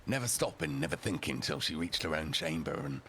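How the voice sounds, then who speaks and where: A man with a gravelly voice telling a story theatrically and a little haltingly, as a rough-and-ready character. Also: extremely low pitch, animated, fairly bright tone, a little hiss or hum. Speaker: storyteller, roleplay streamer in character.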